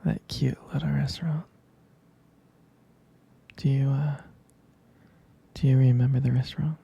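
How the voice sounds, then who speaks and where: A man playing a protective, jealous, tender boyfriend in an intimate ASMR roleplay, whispering hesitantly with a breathy, gravelly voice. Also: mid-range voice, flat delivery, measured pace, warm tone, dull audio, exaggerated voice. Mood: soft, nostalgic.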